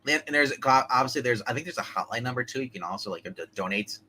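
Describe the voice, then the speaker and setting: A man co-hosting a casual comics talk show, halting and restarting often as he talks. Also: medium pitch, animated, bright, forceful tone, slight background noise. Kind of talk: casual chat.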